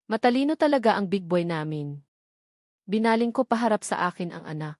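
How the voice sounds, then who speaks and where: A woman's voice: medium pitch, animated, fairly quick, darkish tone, dry recording, studio quality. A woman reading a scripted first-person romance novel as an audiobook narrator.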